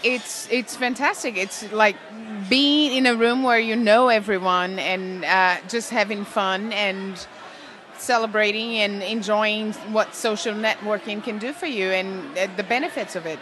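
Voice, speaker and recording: A woman from Brazil, answering interview questions enthusiastically at a teaching conference and pausing often on "uh". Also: medium pitch, fairly bright tone. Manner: enthusiastic, with frequent "uh" pauses. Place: busy conference venue.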